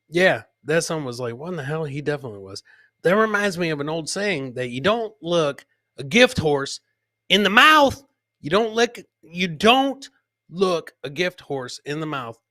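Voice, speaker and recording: A man's voice: a little high, pushed and bright, no room sound, studio quality. A man hosting a comedy podcast, casually commenting on funny video clips and repeating his own catchphrases. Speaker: podcast host.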